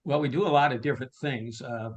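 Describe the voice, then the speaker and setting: Male, mid-pitched, ballpark announcer type in an informal interview, conversational and unscripted. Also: fairly even intonation, fast talker, husky. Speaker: stadium public address announcer and marketer. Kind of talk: casual interview answers.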